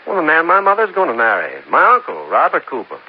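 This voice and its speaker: A man's voice: fairly high pitch, wildly swooping pitch, fast talker. An actor playing a defensive, flustered young man under police questioning in a 1950s radio drama, indignant and protesting. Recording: muffled old radio recording, faint noise.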